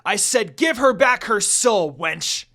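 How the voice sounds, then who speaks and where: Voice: mid-range voice, animated, slow, pushed and bright, pitched above the performer's own voice, heavily acted. A storyteller voicing a hostile, menacing young half-demon man who growls threats in a fan fiction reading. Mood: angry, growling.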